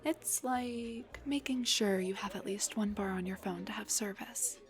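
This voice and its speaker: A young woman voicing an embarrassed, self-deprecating headless dullahan on a date, in an intimate roleplay, breathy and hesitant, often slipping towards a whisper. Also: mid-range voice, unhurried, soft background music, lightly acted. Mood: relaxed, chatty. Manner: hesitant, stammering, joking. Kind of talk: intimate audio roleplay acting.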